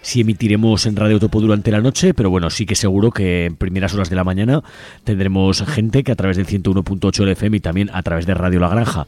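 A man's voice: sits low, fast, a little mellow. A man with an accent from Spain, hosting a community radio show and making informal, conversational announcements. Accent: Spanish from Spain. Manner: conversational, unscripted. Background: music bed.

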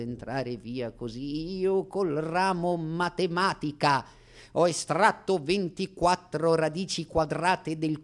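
A man's voice: a little high, darkish tone, lightly acted. A man playing a boastful, absurdist old-time Italian variety-theatre comedian in a declamatory, mock-solemn radio monologue. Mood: hushed, haughty. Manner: declamatory, grandiose, mock-solemn, playing with drawn-out and rattled phrases. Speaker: stage actor.

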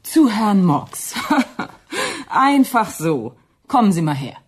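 A woman playing a cool, imperious middle-aged executive assistant in a radio drama, curt and commanding, with a husky voice. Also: mid-range voice, sing-song, slow, a little hiss or hum. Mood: sharp, scornful.

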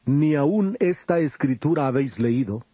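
A solemn male teacher of great authority for a scripture drama. The voice is soft-edged and warm, a little high, and recorded very dry. Each phrase is measured, firm and declamatory. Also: lively intonation, breathy edge, no echo. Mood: solemn storytelling.

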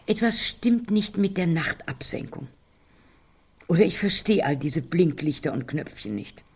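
An actress playing a fretful, defensive elderly woman in a German radio drama, plain-spoken and homely, with a breathy, husky voice. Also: fairly low pitch, animated, unhurried, darkish tone, full character voice. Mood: worried. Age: older.

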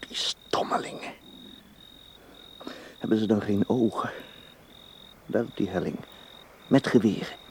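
An actor playing a tense, hard-edged secret agent in a radio drama, talking tersely and urgently. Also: male voice, low-pitched, a little mellow. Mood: muttering, wary. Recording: faint background noise.

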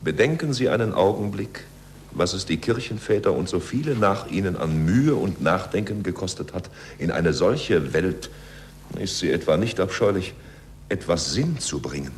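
An actor playing an urbane, authoritative, eloquent cardinal in a 1940s German radio play, on an old recording. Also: male voice, low voice, animated, moderate pace, slight background noise. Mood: grave, admonishing. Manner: eloquent, rhetorical. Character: urbane, worldly cardinal, courteous yet firmly authoritative.

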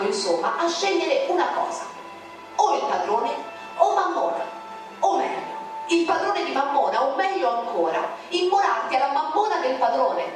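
A woman reciting a poem in a declamatory way, with sharp swings in pitch, over music in a very echoey recording. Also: distant mic. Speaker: poetry reader. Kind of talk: dramatic poetry recitation.

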